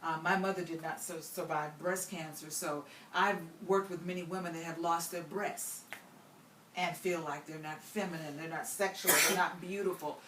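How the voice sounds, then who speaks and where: A woman's voice: fairly low pitch, steady tone, moderate pace. An older woman hosting an interview, coaching her guest in an inspirational, preacher-like call-and-response way. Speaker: host and life coach. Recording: roomy, distant mic, faint noise.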